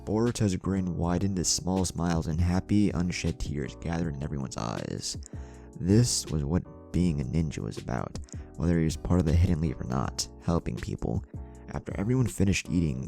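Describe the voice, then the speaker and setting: A man's voice: sits low, lively intonation, normal speed, gravelly, dark tone. A man reading a scripted story aloud as narrator over music. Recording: music bed under the voice.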